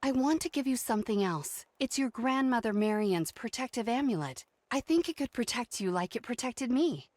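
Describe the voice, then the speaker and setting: A woman's voice: a little high, animated, moderate pace, few pauses, a little mellow, no echo. A woman playing a protective, thoughtful young mother, the earnest heroine of a video game. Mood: tender. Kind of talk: video game character narration.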